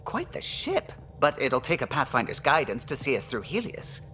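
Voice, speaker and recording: A man voicing a courteous, eager alien starship pilot in a video game, with crisp, precise speech. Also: mid-range voice, wildly swooping pitch, normal speed, pushed and bright, a little hiss or hum. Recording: music behind the voice.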